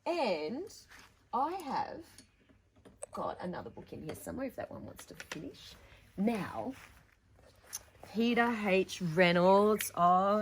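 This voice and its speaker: A woman's voice: fairly low pitch, a little hiss or hum. A woman presenting a children's storytime, reading a picture book theatrically with dramatic emphasis and chatty asides to young listeners.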